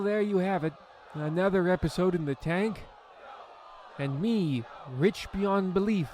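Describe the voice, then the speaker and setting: A man's voice: high-pitched, a little hiss or hum. A man playing a pompous, greedy, self-absorbed host in a parody of old radio horror shows. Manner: pompous, theatrical, deliberate.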